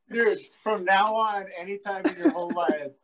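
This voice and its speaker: A man's voice: sits high, sing-song, measured pace, over a noisy crowd, low-quality recording. A man co-hosting a casual interview over a video call, chummy and eager to chime in with details. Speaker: podcast co-host. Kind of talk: casual chat. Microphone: webcam on a video call.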